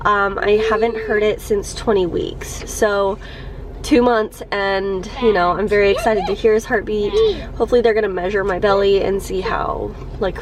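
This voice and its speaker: A young woman vlogger with a cold and a stuffed-up nose, chatting casually to her camera from a parked car, with lots of ums and likes. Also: medium pitch. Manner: chatty, many ums and likes, laughs a little. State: congested from a cold.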